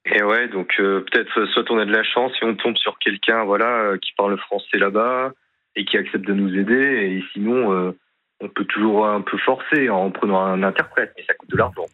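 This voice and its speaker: A man calling in to a radio show, speaking hesitantly and haltingly, full of fillers. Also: fairly low pitch, steady tone. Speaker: caller. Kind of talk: phone-in conversation. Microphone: telephone. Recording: tinny phone line.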